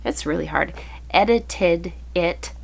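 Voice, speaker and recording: A woman's voice: on the low side, slow, vocal fry. A woman in her thirties, a mother of eight with a slight cold that makes her a little froggy, chatting casually through gift ideas with many ums. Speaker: family vlogger, mother of eight. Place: home, indoors.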